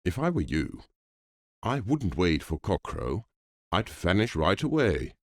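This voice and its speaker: A man playing a firm gentleman in an audiobook, admonishing with an air of friendly superiority and old-fashioned clubman phrasing. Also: sits low, very animated, measured pace, heavily acted. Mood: insistent.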